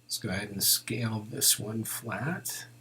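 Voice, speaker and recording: Slow-paced man narrating a software tutorial. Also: lowish voice, a little hiss or hum. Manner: unhurried, thinking aloud. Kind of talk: informal step-by-step screen tutorial narration.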